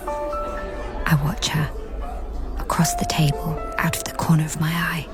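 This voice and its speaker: Over prominent music, a young society woman whispers her inner monologue in a radio drama. She is watchful and appraising, breathy and intimate, and very unhurried. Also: deep voice, level tone. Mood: hushed, appraising. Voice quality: breathy, hushed. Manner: slow, deliberate, intimate murmur in fragments. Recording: music under the voice.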